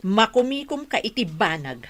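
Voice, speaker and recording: A woman's voice: a little high, big pitch swings, normal speed. A woman with an Ilocano accent playing a mistrustful, challenging partner in a radio drama. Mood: suspicious.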